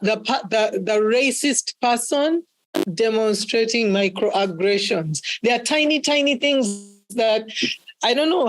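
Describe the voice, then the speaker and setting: A woman facilitating a workshop, explaining things in an emphatic, instructive and encouraging way. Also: on the high side.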